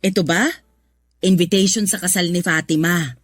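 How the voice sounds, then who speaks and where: A woman's voice: on the low side, steady tone, moderate pace, no room sound, faint music behind, natural voice. An actress playing a frank, scolding older mother in a radio drama, with a Filipino accent. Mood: chatty, matter-of-fact. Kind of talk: Tagalog radio drama acting.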